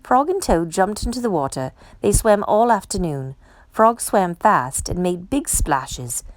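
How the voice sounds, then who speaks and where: A woman reads a storybook aloud with lively, swooping intonation. Her delivery is expressive and made for young children, and the recording is close and dry. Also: medium pitch, unhurried, no room sound. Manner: animated, acts out character dialogue. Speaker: storytime reader.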